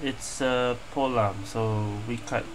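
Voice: mid-range voice, steady tone, takes it slowly. A game streamer giving casual reaction commentary, wry and offhand, with the odd swear word.

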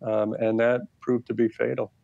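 An American man in his fifties giving measured, deliberate explanations as an expert interview guest over a video call headset. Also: medium pitch, little pitch movement, gravelly, warm tone, no echo. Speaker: expert guest, labor history professor. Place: plain room indoors. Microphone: earbud headset on video call. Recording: muffled, lo-fi video call audio.

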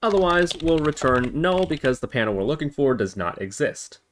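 A man hosting a software tutorial, explaining methodically, step by step. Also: fairly high pitch, sing-song, a little fast. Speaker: tutorial host. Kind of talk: scripted-feeling coding tutorial narration. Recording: noisy, with keyboard typing and clicks. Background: computer keyboard typing and mouse clicks.

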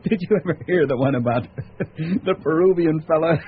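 A man's voice: fairly high pitch, fairly quick, close to an everyday voice. An actor playing an amused, easygoing man courting in a radio drama. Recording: dull, noisy radio drama audio.

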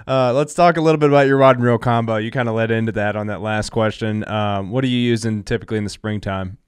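A young man hosting an interview from a home studio on a headset and mic, relaxed and friendly. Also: fairly low pitch, quick pace, clear, resonant tone, dry recording. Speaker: podcast host.

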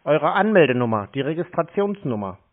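A man's voice: on the high side, sing-song, a little fast. A blunt, mocking man in a confrontational phone call. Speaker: scam-baiting prank caller. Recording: tinny narrowband phone line.

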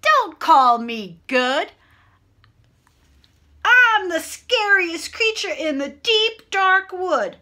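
A woman's voice: very high-pitched, very animated, drawn-out words, bright, forceful tone, voice pushed up, heavily acted. A woman voicing a small, cunning, boastful mouse in a children's storybook, with bold, emphatic delivery. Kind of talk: children's storybook read-aloud.

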